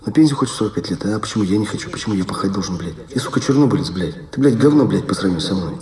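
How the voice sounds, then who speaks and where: Man acting bitter foul-mouthed labourer, haranguing, low pitch, rapid, distant echoing audio play recording. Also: expressive, a little mellow, close to an everyday voice. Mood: furious, bitter. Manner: swearing, haranguing. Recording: very echoey, far from microphone.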